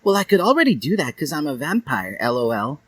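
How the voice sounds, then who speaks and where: A man's voice: on the high side, sing-song, a little fast, husky, far from the mic, voice pushed up. A man voicing a melodramatic, snippy teenage vampire girl in a comic story reading. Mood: excited. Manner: dramatic, snippy, exclaiming.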